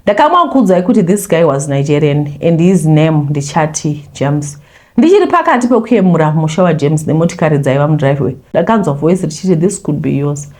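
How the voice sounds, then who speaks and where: A woman from Zimbabwe, a host who chats animatedly with viewers and then reads a confession aloud. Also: brisk. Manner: animated, talks straight to viewers, voice leaps high when scolding. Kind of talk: chatty intro, then reading a confession aloud.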